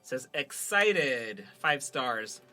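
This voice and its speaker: Bright, forceful man bantering over music. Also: mid-range voice, sing-song, drawn-out words. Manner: jokey, self-deprecating, laughs often. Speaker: podcast host and producer. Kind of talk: casual banter, reading listener reviews.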